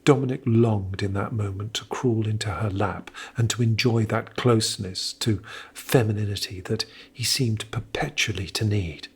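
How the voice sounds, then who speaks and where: A man playing the intense, expressive narrator of a period melodrama in an audio drama, telling it in a literary style. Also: fairly low pitch, normal speed, few pauses, breathy edge. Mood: brooding.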